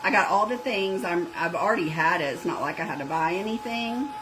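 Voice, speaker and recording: A woman's voice: lowish voice, animated, a little fast, noisy background. A middle-aged woman with a North Georgia Southern accent, chatting casually while demonstrating a craft project. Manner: chatty, drawling, says "y'all" and "girl". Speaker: craft shop owner and video host. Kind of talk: casual crafting livestream chat.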